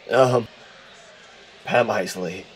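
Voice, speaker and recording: A man playing a cocky, image-conscious high school boy in an audio drama, glib and self-assured. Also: very slow, slight background noise. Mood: self-satisfied.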